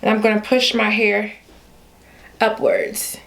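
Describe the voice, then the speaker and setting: A woman's voice: mid-range voice, level tone, slow pace, gravelly, a bit bright. A young adult Haitian woman with a Haitian accent, chatting playfully and directly to her viewers in a casual hair vlog. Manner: chatty, playful, talks straight to viewers with y'all. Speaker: beauty vlogger.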